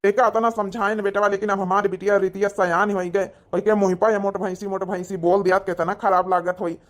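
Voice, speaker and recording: A woman puts on an old village father for an Awadhi cartoon comedy. The voice is mid-pitched and flat. He sounds gentle, doting and plaintive. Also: a little fast, lightly acted. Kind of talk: Hindi cartoon comedy acting.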